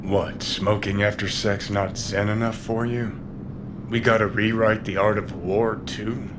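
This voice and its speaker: An actor playing a brash, cynical, profane rebel rock singer in a video game, gruff and sardonic. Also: male voice, lowish voice, level tone, unhurried, background noise, natural voice. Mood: sardonic, low.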